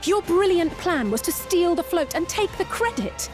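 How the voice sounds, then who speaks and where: A woman's voice: very high-pitched, sing-song, moderate pace, a little mellow, soft background music. An actress playing an indignant, righteous young woman in a video game, full of scorn.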